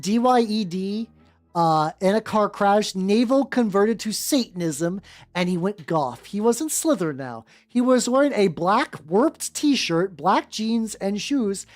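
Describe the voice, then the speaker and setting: A woman's voice: mid-range voice, a little mellow. A woman livestreamer reading fanfiction aloud and breaking into informal, sweary, rambling asides. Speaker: livestream host, VTuber. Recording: music playing behind the voice.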